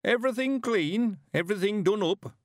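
A man voicing a frail ninety-one-year-old rustic man in an audiobook, speaking slowly in an old country dialect, eager to go courting. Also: high-pitched, expressive, dry recording, studio quality, voice pushed up. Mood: anxious. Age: 90s. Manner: slow, creaky rustic dialect.

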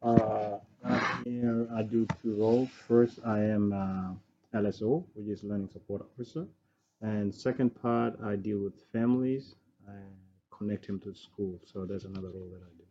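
A man from Sudan who works as a school learning support officer, answering interview questions in a measured, slightly halting way. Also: fairly even intonation, vocal fry, warm tone, slight background noise. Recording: dull low-fidelity school recording.

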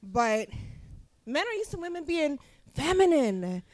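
A woman's voice: high-pitched, sing-song, unhurried. A middle-aged woman from Philadelphia with a Philly accent, giving blunt, profane advice as co-host of a live podcast. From Philadelphia, USA. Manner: blunt, profane, laughing, preachy. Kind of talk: live podcast talk before an audience.

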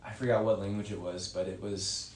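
A man's voice: lowish voice, little pitch movement, fairly quick, a little mellow, slight background noise. A young man who is a guest on a podcast, chatting casually in a rambling way and correcting himself. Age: young adult. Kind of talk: casual interview chat. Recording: echoey room.

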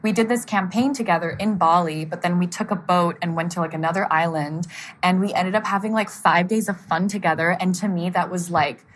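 A young woman whose first language is German talks with an American accent and a slightly low voice. She chats freely, laughing often and filling her sentences with "like". Faint background noise sits under the recording. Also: a little fast. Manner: chatty, laughs often, many fillers like "like". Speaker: guest, model. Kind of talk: casual podcast interview.

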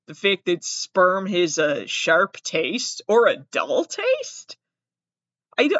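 A man's voice: high-pitched, fairly bright tone, no echo. Rambling Massachusetts man, dull dry recording. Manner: rambling, self-interrupting, jokey asides. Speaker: podcast host. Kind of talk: solo comedic trivia reading. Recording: dull, dry close-mic sound.

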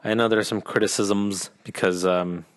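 An American man co-hosting a podcast, chatting informally with quick interjections and a self-deprecating manner. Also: lowish voice, fairly even intonation. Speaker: podcast co-host. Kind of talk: casual chat.

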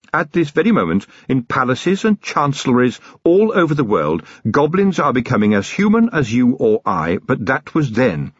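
A man's voice: mid-range voice, husky, dry recording, lo-fi sound, pitched above the performer's own voice. A man voicing a cold, ironic middle-aged city tyrant who speaks in a dry, precise, sardonic way.

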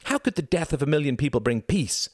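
Casting: man playing indignant middle-class mother in an audiobook, high and shrill, demanding, snappy, wildly animated pitch. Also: quick pace, dry recording, clean studio sound. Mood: exasperated. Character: sharp-tongued, indignant mother of grown sons. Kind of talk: dramatised audiobook reading.

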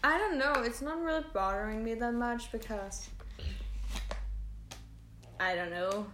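A woman's voice: fairly high pitch, unhurried, fairly bright tone. A young woman co-hosting a podcast in casual chat, hesitant and self-deprecating. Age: young adult. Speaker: podcast co-host. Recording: echoey room.

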